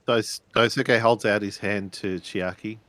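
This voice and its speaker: A man with a slightly low voice plays a tabletop roleplaying game. He describes what his character does, carefully and with some hesitation. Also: steady tone, a little slow, a bit bright, no echo.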